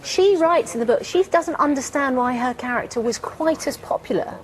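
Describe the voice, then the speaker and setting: A woman's voice: high-pitched, normal speed. A woman asking questions in a conversational interview, rephrasing them as she goes.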